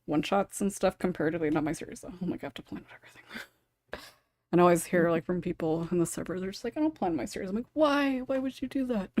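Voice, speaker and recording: A woman's voice: on the low side, fast talker, darkish tone, dry recording. A woman with a chatty, giggly, informal manner, hosting a casual panel discussion. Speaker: podcast host and writer.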